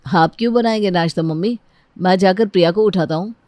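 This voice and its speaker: A woman's voice: lowish voice, brisk, mellow, no room sound, clean studio sound, lightly acted. A woman voicing an eager, impatient young bridegroom in a family story, coaxing and insistent.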